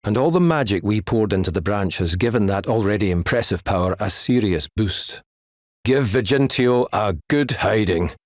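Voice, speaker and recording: A homely Scottish wizard in a game, low and raspy. He explains things earnestly and with some weariness, in a close, dry recording. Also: no room sound. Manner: explanatory, measured, folksy. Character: earnest, weary male wizard, homely mentor figure. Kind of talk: video game cutscene acting.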